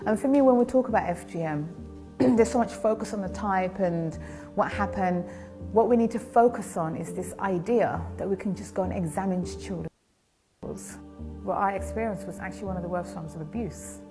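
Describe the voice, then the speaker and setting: A woman giving a personal testimony in a quiet, measured, grave way. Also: mid-range voice, over music.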